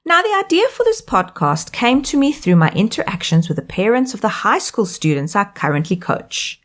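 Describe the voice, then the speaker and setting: A South African woman in her thirties, a life coach hosting her own podcast, reading a scripted monologue in an upbeat, expressive way. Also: medium pitch, moderate pace.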